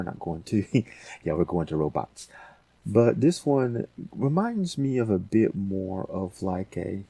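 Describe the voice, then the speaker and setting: A man with a very low, dark and husky voice explains software steps in a casual tutorial. He thinks aloud informally, and the sound is close and dry. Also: no room sound. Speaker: audio editing tutorial host.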